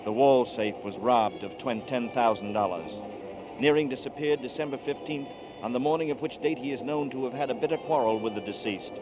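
A man's voice: a little fast, noisy background, lightly acted. An actor narrating in first person as a haunted man on the run, in 1940s radio drama style. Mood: brooding. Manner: low, brooding narration. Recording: dull 1940s radio broadcast with music.

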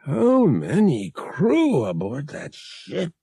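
Man playing an urgent, high-voiced anxious starship passenger. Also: very animated, takes it slowly, a little mellow, no echo, studio quality. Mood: tense. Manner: urgent, tense.

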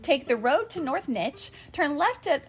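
A woman's voice: sing-song, unhurried. A woman reading a storybook as an eager, greedy male inventor, emphatic and self-justifying in the way of a salesman. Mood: excited, greedy. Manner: emphatic, pitching, dismissive.

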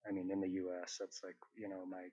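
A man's voice: low voice, monotone, fast talker, mellow, husky, dull audio. A young man from northern Utah, talking casually as an interview guest, hesitant, with many fillers and restarts. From northern Utah, USA.

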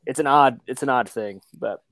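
Man, mid-pitched voice, very dry sound, casual sports panelist, hesitant filler-filled delivery. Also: moderate pace, fairly bright tone, no echo. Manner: hesitant, frequent "like". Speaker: podcast panelist.

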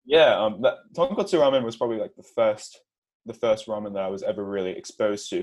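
A young man, appearing as a podcast guest. His voice is on the low side, and he speaks in a halting way, stumbling often out of nerves. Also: darkish tone. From Australia. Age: 20s. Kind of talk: casual interview.

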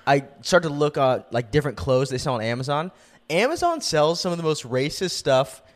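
A man's voice: on the high side, very animated, a little fast. A young man co-hosting a podcast in casual chat, joking and laughing a lot, slipping into mock voices. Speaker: podcast co-host.

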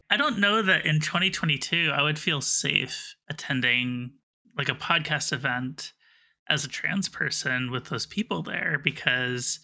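Very bright-voiced man co-hosting a podcast. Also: mid-range voice, creaky. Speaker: podcast co-host, journalist. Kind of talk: casual discussion.

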